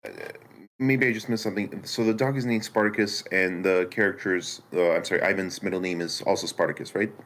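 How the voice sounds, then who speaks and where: A man speaking casually in an online meeting as a newcomer, unsure, apologetic and halting. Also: low-pitched, fairly even intonation, a bit bright. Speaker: newcomer participant in feedback session. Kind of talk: hesitant casual remarks.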